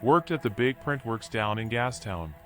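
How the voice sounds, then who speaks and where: A man's voice: medium pitch, expressive, normal speed, fairly bright tone, natural voice. Man playing a washed-up, philosophical drifter over music. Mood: wry, resigned. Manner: folksy, rambling, resigned. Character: washed-up male drifter animal, philosophical, wry, weary. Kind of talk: video game dialogue, noir.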